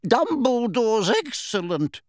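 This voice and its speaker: Brief: man doing a jolly old-school witch teacher, high and slow, bouncy pitch. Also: big pitch swings, no echo, clean studio sound, heavily acted. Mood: hearty. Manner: hearty, brisk, upper-class. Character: brisk hearty middle-aged witch teacher. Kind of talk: audiobook character voice.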